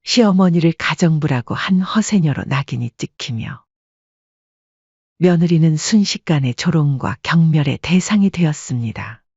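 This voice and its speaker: A woman's voice: low-pitched, lively intonation, moderate pace, darkish tone, husky, no room sound, lo-fi sound. A woman working as narrator, reading a scripted dramatic story.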